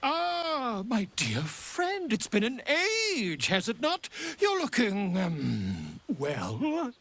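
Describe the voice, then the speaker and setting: Video game schemer: charming self-promoter, breathy-rough tone, animated, wheedling, theatrical. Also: male voice, high voice, drawn-out words, full character voice. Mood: sly, chummy. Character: flamboyant, self-promoting, slyly charming writer and old friend. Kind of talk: video game character acting.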